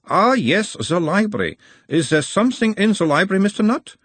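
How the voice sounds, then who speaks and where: A man's voice: high-pitched, sing-song, normal speed, fairly bright tone, husky, dry recording, pitched above the performer's own voice, heavily acted. A man voicing an insistent analyst persona in an audiobook, formal and clinical. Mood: probing. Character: insistent, probing male analyst persona of a hypnotised creature.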